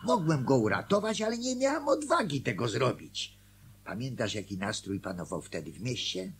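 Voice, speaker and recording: A husky, anxious husband. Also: male voice, mid-range voice, big pitch swings, moderate pace, full character voice. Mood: guilty, defensive. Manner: hesitant, agitated, conversational. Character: anxious, guilt-ridden small-town husband confiding in his wife. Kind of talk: Polish radio play acting.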